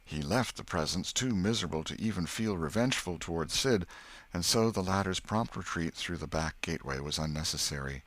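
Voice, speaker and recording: A man's voice: low-pitched, expressive, fairly quick, a bit bright, no echo. A man with an American accent narrating a classic novel as an audiobook storyteller.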